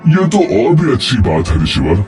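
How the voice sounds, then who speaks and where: A man playing a credulous, reverent king in a cartoon moral story, deferential and expressive. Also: on the low side, normal speed, faint music behind. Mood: eager, deferential.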